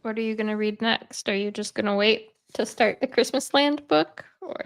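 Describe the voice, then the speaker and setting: A woman's voice: on the high side, moderate pace, vocal fry, smooth. A woman hosting a casual chat about hobbies. She talks in a rambling way, with many fillers, and sounds a bit congested. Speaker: host. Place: at home, sitting in bed.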